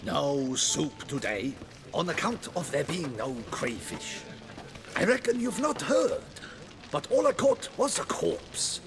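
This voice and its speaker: An actor playing a plaintive rustic fisherman in a video game, telling his tale in a drawn-out, dramatic manner. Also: on the high side, very animated, exaggerated voice. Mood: plaintive storytelling.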